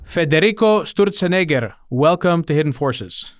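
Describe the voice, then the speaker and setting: A man hosting a podcast interview, asking eager, quick questions with small restarts. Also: a little high, animated, a little hiss or hum. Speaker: podcast host.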